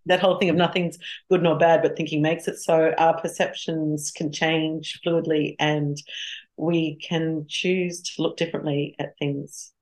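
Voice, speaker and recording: A woman's voice: low voice, flat delivery, measured pace, a little mellow. A middle-aged woman with an Australian accent, speaking frankly and reflectively as an interview guest. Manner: frank and reflective, laughs easily. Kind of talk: candid interview conversation.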